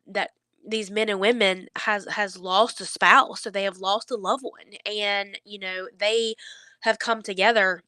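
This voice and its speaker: Bright, clear-voiced woman, slightly stuffy. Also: medium pitch, dry recording. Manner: earnest, reassuring, halting conversational delivery. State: stuffy nose, under the weather. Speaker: faith vlogger. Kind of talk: heartfelt devotional talk to camera.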